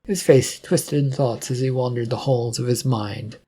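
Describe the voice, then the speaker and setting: Male narrator, dark mellow tone, slightly husky, measured hobbyist story reading. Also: mid-range voice, fairly even intonation. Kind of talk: reading a self-written adventure story aloud.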